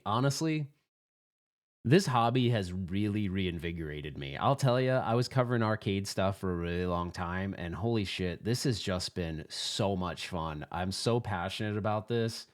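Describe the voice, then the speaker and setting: Upbeat man with lively, swinging pitch. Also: normal speed. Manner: upbeat, earnest, rambling. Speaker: host of a live pinball podcast. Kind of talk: casual chat, reacting to voicemails.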